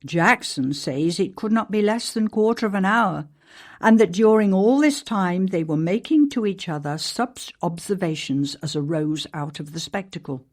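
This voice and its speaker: A woman narrating an archaic newspaper account as a ghost story, in a measured, formal storytelling manner. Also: fairly low pitch. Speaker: actor reading a historical newspaper article as a ghost story.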